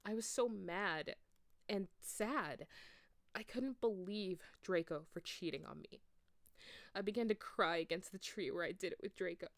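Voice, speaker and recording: A woman's voice: medium pitch, a little slow, vocal fry, no echo, clean studio sound. A woman reading fan fiction aloud in a theatrical amateur storytelling style. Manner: theatrical, acts out dialogue.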